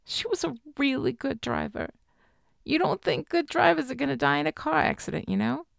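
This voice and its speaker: A woman's voice: mid-range voice, animated, moderate pace, gravelly, no room sound, studio quality. A woman voicing a grieving young college student, tearful and bitter, in an audiobook.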